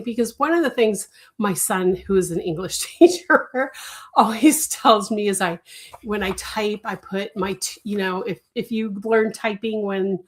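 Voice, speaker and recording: Chatty middle-aged woman, rambling with fillers. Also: mid-range voice. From United States. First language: Italian. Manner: rambling, with many fillers and self-corrections; laughs lightly often mid-sentence. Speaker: crochet designer and channel host. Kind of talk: casual desk-tour vlog. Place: home workspace.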